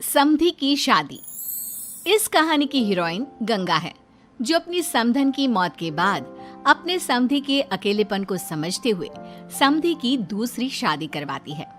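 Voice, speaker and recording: A woman narrates a scripted family story in a high voice. She tells it dramatically as the storyteller, with light music underneath. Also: big pitch swings, slow pace, a bit bright.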